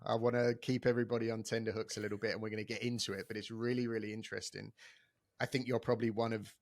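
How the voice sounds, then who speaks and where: A man's voice: mid-range voice, dry recording, clean studio sound. A man hosting a podcast, chatty and enthusiastic, talking casually with many restarts. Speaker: podcast host.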